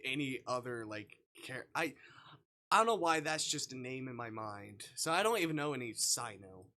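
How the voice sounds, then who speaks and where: A man's voice: mid-range voice, pushed and bright. A man livestreaming a visual novel with casual, playful, irreverent commentary and a giggly way of talking. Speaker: streamer.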